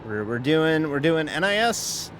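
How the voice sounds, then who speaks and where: A man's voice: fairly high pitch, sing-song, unhurried, fairly bright tone, slight background noise. A man streaming a sim race, chatting casually in a rambling, self-mocking way, full of fillers and casual swearing. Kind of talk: casual live-stream chat while racing.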